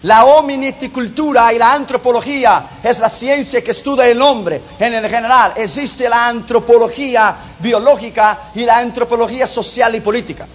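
A man preaching a sermon whose first language is not Spanish, emphatic and dropping low and soft on key lines. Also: moderate pace, few pauses. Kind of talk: sermon on prophecy. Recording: dull lo-fi recording.

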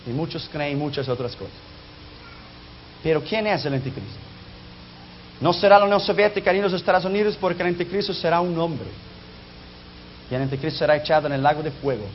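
A man preaching a sermon whose first language is not Spanish, emphatic and dropping low and soft on key lines. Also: fast talker, a little hiss or hum. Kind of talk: sermon on prophecy. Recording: dull lo-fi recording.

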